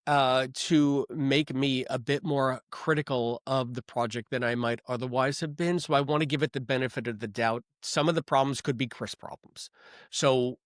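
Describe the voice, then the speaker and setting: A middle-aged man chats as co-host of a fan podcast, his voice mid-pitched and dry, recorded close with no room around it. He is animated, restarts his sentences and leans on fillers. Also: moderate pace, dry recording. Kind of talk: casual chat.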